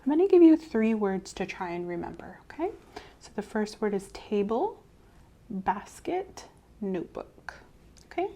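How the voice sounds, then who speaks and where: A woman doing a soft-spoken ASMR medical role-play as a clinician, gently reassuring and giving step-by-step instructions. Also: mid-range voice, big pitch swings, measured pace. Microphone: clip-on mic.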